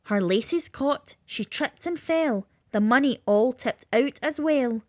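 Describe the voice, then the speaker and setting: A woman's voice: sits high, lively intonation, slow, a little mellow, no echo, studio quality. A woman with a lilting, sing-song delivery, reading a rhyming children's story aloud.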